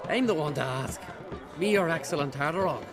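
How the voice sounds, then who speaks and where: A man's voice: fairly high pitch, expressive, brisk. An actor playing a boastful, bickering islander fisherman in a video game, mouthy and indignant. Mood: indignant, mocking.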